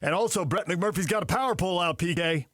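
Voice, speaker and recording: Higher-pitched American sports radio host. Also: male voice, brisk, a bit bright, no echo, studio quality. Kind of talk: upbeat sports radio banter.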